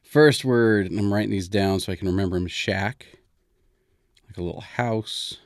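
A man hosting a story podcast, improvising in a casual, informal and halting way as he thinks aloud. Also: lowish voice, dry recording.

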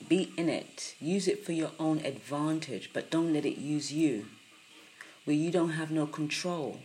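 A woman with a croaky early-morning voice giving a soft, unhurried spiritual podcast talk, with many pauses and fillers. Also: low voice, slight background noise.

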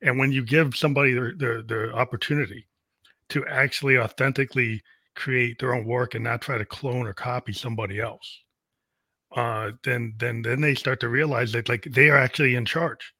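A man's voice: mid-range voice, steady tone, moderate pace, bright, forceful tone, no room sound, studio quality. A man hosting an interview as a music producer, talking in an earnest, reflective way. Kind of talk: casual interview chat.

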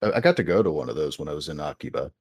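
An American man with a very deep voice talks casually, piling up fillers and restarting his sentences. The sound is dry and close, with no room around it. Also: animated, racing, slightly rough, no echo. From United States. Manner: rambling, many fillers and restarts. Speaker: podcast co-host. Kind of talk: casual chat.

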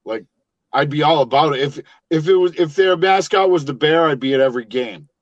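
A man's voice: a little high, brisk, no echo, muffled recording. A man from Massachusetts co-hosting a podcast, telling rambling stories in casual, profane chat. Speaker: podcast co-host.

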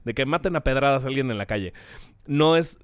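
A man in casual chat, talking in a reflective, measured way and often asking "¿sabes?". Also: medium pitch, lively intonation, smooth, dry recording.

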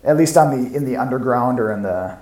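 A man's voice: mid-range voice, lively intonation, brisk, darkish tone. A man co-hosting a podcast, talking casually and informally as a fan. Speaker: podcast co-host. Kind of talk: casual chat about comics and toys. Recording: echoey, roomy podcast audio.

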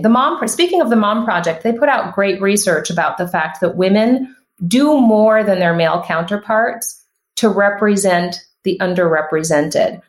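Emphatic woman in an echoey room. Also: fairly low pitch. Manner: emphatic, animated, frequent restarts. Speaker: podcast guest. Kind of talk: casual conversational interview.

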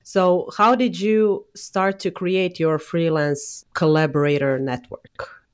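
A woman's voice: fairly low pitch, steady tone, slow pace, smooth. A woman hosting an interview podcast, encouraging her guest and asking questions. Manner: encouraging, asks questions warmly. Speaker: podcast host. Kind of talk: casual interview.

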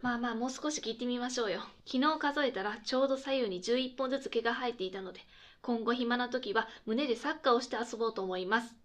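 A woman playing a cheerful radio host in a scripted comedy, chirpy and polite with quick retorts. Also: fairly high pitch, a bit bright, close to an everyday voice. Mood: amused, presenting. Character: cheerful female radio host, playful straight man to sidekick. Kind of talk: scripted comedic late-night radio chat.

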